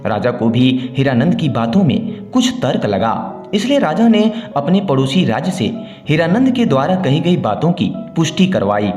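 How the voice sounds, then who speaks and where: A man's voice: fairly high pitch, sing-song, normal speed, hardly any pauses, a little mellow. A man narrating a scripted moral story as a storyteller. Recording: roomy echo, music behind. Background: background music.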